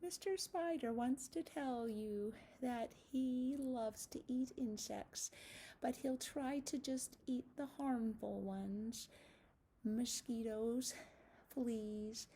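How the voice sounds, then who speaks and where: A woman's voice: a little high, slow pace, warm tone, slight background noise. A woman teacher reading aloud and giving a lesson to young children, addressing them directly in a sing-song manner.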